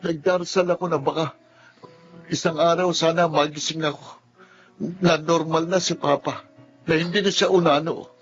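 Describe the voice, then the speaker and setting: An actor playing a troubled, protective young son in a radio drama, hesitant and pleading. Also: male voice, on the high side, steady tone, normal speed, long pauses. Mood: anguished. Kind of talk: Tagalog radio drama acting. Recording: dull lo-fi audio with clear music underneath.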